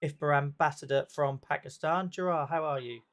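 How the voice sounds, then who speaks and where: A man's voice: on the high side, brisk, fairly bright tone. A man hosting a casual online talk show, chatty and friendly, often restarting his sentences. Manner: chatty, friendly, self-interrupting.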